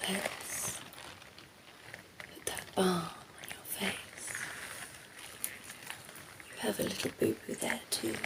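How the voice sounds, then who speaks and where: A cheeky female vampire played by a woman murmurs and whispers in an unhurried, creaky voice. She coos at humans with teasing, condescending affection, in the close, breathy style of ASMR roleplay. Clear background noise sits under the voice. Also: on the low side. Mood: coaxing, teasing. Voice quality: breathy, often dropping into a whisper. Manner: slow, teasing, cooing.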